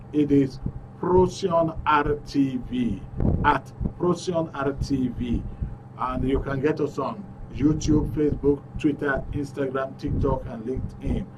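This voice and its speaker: A man's voice: mid-range voice, distant mic, noisy background. A Nigerian man hosting a live call-in radio show from the studio, upbeat as he reads out messages and phone numbers. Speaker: radio talk show host.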